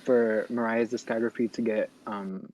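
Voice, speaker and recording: A man's voice: fairly low pitch, level tone, normal speed, darkish tone, slight background noise. A man chatting with other fans, enthusiastic. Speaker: fan on a group call. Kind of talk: casual chat. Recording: dull, dry lo-fi audio.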